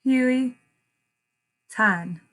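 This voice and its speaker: A woman reading a picture book aloud like a teacher, deliberate and expressive, pausing to explain and repeat phrases for young listeners. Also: a little high. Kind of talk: children's read-aloud with short explanations.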